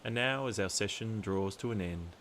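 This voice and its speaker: A deep-voiced man leads a guided meditation. He speaks calmly and deliberately, leaving long, soothing pauses between phrases. A soft, steady hiss sits behind the voice.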